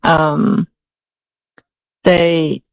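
Danish-raised woman in her 40s, slow and halting, lots of ums, flat delivery. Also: low voice, gravelly, no echo, muffled recording. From Denmark. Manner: hesitant, many fillers and restarts. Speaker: guest, author. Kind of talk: interview answers.

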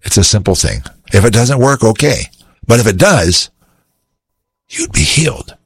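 A man's voice: lowish voice, very animated, a little slow, husky, pitched above the performer's own voice, heavily acted. A man voicing a loyal officer who reasons with his captain in a coaxing way. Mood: persuading. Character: loyal soldier advisor, man, persuasive.

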